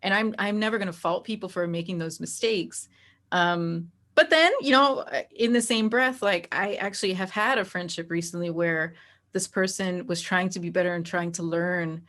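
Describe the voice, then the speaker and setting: Woman, slightly low voice, candid interview guest. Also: normal speed, fairly bright tone.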